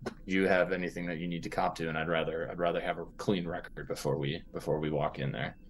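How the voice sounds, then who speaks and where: Low-voiced man, halting and noisy in the background. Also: flat delivery. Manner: halting, thinking aloud. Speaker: player in a tabletop role-playing game. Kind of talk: casual in-game planning talk.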